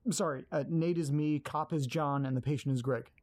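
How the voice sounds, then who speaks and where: A man's voice: a little high, steady tone, fairly quick, a little mellow, natural voice. A man playing a nervous, hesitant young man who apologizes and stumbles over his words in an audio drama. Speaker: lead character.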